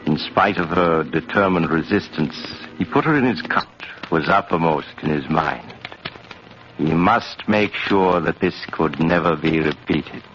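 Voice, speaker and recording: Deep voiced guy narrating an old radio thriller, muffled sound, faint music. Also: expressive. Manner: measured, dramatic. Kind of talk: 1950s radio mystery narration, scripted. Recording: muffled 1950s radio recording.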